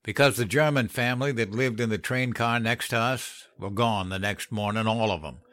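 A man voicing a gruff, cynical old country man with a folksy drawl, telling of hard times in a horror story. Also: lowish voice, normal speed, a bit bright, no room sound, studio quality, voice pushed up, natural voice. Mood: somber, firm. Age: older. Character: cynical old country man recounting grim Depression-era hardship. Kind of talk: dramatized horror story narration.

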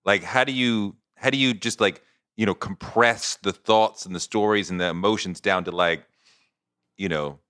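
A man's voice: fairly low pitch, moderate pace, a bit bright, clean tone, no room sound, studio quality. A man hosting a podcast interview, asking thoughtful, probing questions in a casual tone. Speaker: podcast host.

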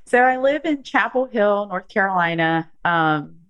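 A woman's voice: mid-range voice, expressive, measured pace, fairly bright tone, smooth, no room sound, muffled recording. A woman in her thirties from the southern United States with a Southern accent, talking casually as a podcast guest, chatty, with lots of fillers. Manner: chatty, laughs often, lots of um and like. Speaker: guest, strength coach.

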